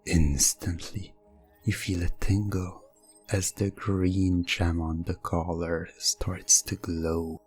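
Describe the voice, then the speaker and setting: Very slow, breathy man narrating hypnosis. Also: low-pitched, level tone, a little mellow, soft background music. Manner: measured, pausing between short phrases.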